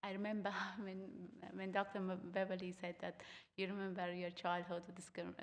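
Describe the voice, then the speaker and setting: Young woman from Afghanistan, Uzbek first language, panel speaker; lowish, gravelly voice, halting with fillers. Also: monotone, long reverb tail. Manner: halting, many fillers and restarts. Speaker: students' union equity officer. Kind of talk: panel talk on stage. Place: theatre stage.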